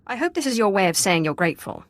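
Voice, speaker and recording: An actress playing a cool, commanding Sith inquisitor in a video game, composed, dry and formal. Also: female voice, mid-range voice, big pitch swings, fast, no echo, natural voice.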